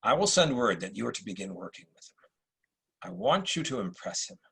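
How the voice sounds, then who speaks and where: An actor playing a wise Roman emperor in a drama read-through, speaking deliberately with weighty pauses like an orator. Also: male voice, lowish voice, very animated, vocal fry, fairly bright tone, slightly rough, full character voice. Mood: measured, encouraging. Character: wise, measured Roman emperor, philosophical and probing. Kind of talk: dramatic table-read acting.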